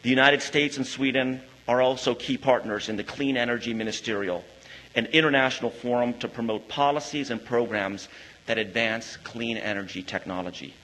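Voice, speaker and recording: A man serving as ambassador, delivering a formal prepared speech in a measured, diplomatic way. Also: mid-range voice, steady tone, a bit bright, low-quality recording.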